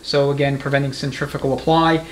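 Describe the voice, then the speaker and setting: A man's voice: mid-range voice, flat delivery, fast. A man giving an unscripted, hands-on tutorial as a mechanic, explaining methodically in a conversational way. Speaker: transmission mechanic giving a demonstration. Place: indoor transmission repair workshop. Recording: clear background noise of a workshop.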